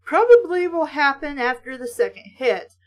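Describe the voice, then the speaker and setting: A woman's voice: high voice, very animated, normal speed. A woman reading aloud and breaking off for blunt, exasperated, sweary, sarcastic commentary. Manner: casual, sweary. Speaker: host of a book-mocking reading show.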